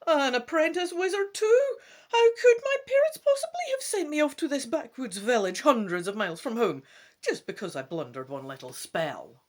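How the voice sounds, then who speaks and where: Man putting on a high, indignant young prince. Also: sing-song, moderate pace. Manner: loud, complaining. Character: petulant young prince, apprentice wizard, proud and indignant.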